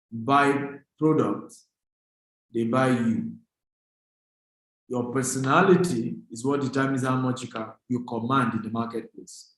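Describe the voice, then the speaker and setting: Nigerian motivational speaker, man in echoey room. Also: mid-range voice. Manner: emphatic, exhorting, repeats key phrases. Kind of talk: motivational seminar talk to an online audience.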